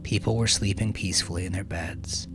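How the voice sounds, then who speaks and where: A man narrating dramatic fiction from a script in a measured, solemn manner, with hushed phrase endings. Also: low-pitched, steady tone, gravelly. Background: music.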